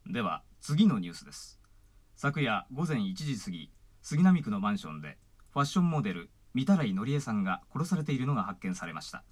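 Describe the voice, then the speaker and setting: A man's voice: lowish voice, very animated, a little fast. An actor playing a formal male news announcer reading scripted bulletins.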